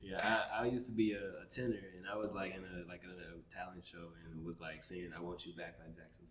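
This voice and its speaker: A man with a deep voice hosting a podcast, asking rambling questions that restart midway. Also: level tone, brisk, fairly bright tone, slight background noise. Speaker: podcast host. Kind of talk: casual interview questions. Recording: roomy echo.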